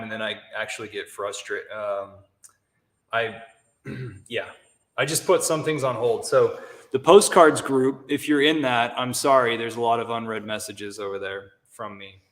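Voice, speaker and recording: A man hosting a casual chat, speaking in a halting, hesitant way. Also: on the low side, fairly even intonation.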